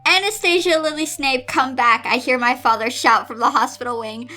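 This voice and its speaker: A woman's voice: high-pitched, moderate pace, pushed and bright, faint music behind. A woman reading a story aloud as a depressed, sarcastic young girl narrating her own misery. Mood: miserable. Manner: self-pitying, sarcastic. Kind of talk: amateur dramatic story reading.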